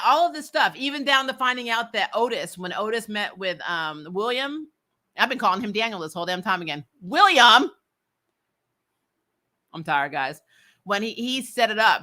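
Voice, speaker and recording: A woman hosting a casual, opinionated recap show, talking in a blunt, animated and emphatic way. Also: medium pitch. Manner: animated, blunt, freely swearing.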